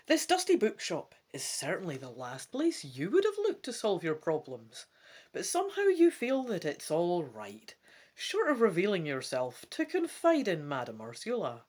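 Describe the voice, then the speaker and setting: A woman's voice: on the low side, moderate pace. A woman narrating a gamebook as a dramatic, theatrical storyteller. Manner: expressive, theatrical.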